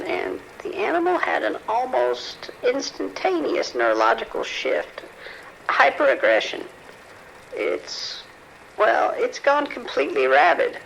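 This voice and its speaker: A man's voice: high-pitched, gravelly, fairly bright tone, slight background noise, exaggerated voice, poor audio. A man playing a worried, apologetic scientist in an audio drama, speaking carefully and formally with hesitations. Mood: anxious, apologetic. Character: apologetic, worried research scientist reporting trouble, male. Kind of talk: audio drama phone dialogue.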